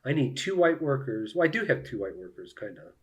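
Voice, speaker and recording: Guy streaming a solo board game, talking thru his moves out loud, voice goes up and down a lot, mid pitch. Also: big pitch swings, brisk. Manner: talks through each move, explaining to viewers. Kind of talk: casual live play-through commentary, thinking aloud.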